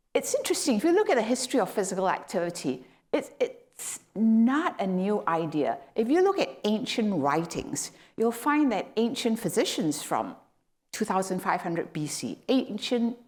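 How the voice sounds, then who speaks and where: A woman's voice: medium pitch, darkish tone. Woman from Malaysia giving a lecture. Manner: explanatory, with emphatic rises on key words. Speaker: guest lecturer. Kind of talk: academic lecture. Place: lecture hall.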